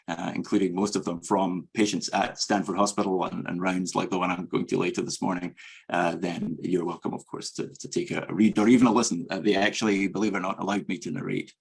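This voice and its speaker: A Scottish man delivers an academic medical presentation in a low voice with a husky edge. He speaks in a measured, earnest manner, pausing and hesitating as he goes, with a creaky fry under his words. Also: fairly even intonation. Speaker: medical professor presenting a lecture. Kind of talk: academic grand rounds presentation.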